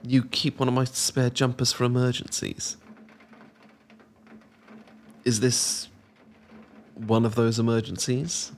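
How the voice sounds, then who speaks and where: A young British man in an intimate boyfriend roleplay, talking casually to the listener in a teasing, affectionate, sometimes halting and bashful way. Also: medium pitch, level tone, a little hiss or hum. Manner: gently teasing, playful, affectionate. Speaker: audio roleplay performer.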